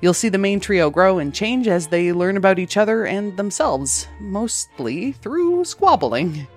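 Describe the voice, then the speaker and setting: A woman's voice: mid-range voice, sing-song, unhurried. A woman producer reading a scripted promotional introduction for a podcast episode. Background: background music.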